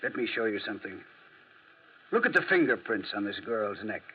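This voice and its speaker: A man playing a clever, self-assured French detective in a 1940s radio drama, with a theatrical, drily ironic, deliberate delivery. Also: lowish voice, big pitch swings, a little hiss or hum. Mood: self-assured, emphatic. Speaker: actor playing detective and narrator. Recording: muffled 1940s radio recording.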